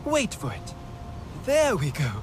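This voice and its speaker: A man playing a young alien researcher in a video game, earnest and eager, talking in a halting, animated way. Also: high voice, background noise, heavily acted. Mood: eager, hopeful. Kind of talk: video game character acting.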